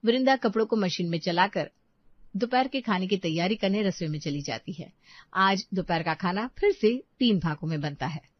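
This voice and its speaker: A woman's voice: medium pitch, expressive, moderate pace, dry recording, highs cut off. A woman reading scripted story narration.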